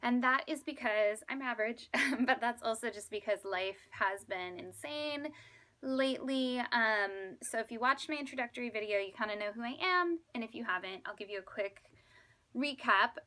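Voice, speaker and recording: A woman in her thirties chatting casually to viewers in an animated, self-deprecating, exclamatory way, like a vlogger. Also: a little high, moderate pace. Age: 30s. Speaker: vlogger and fifth grade teacher. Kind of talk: casual chatty vlog to camera.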